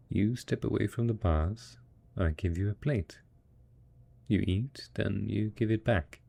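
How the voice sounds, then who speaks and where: A man's voice: lowish voice, gravelly, warm tone, exaggerated voice. A man playing a devoted post-apocalyptic husband in an intimate audio drama, speaking tenderly and patiently as he coaxes his monstrous spouse. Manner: tender, coaxing, patient, full of pet names.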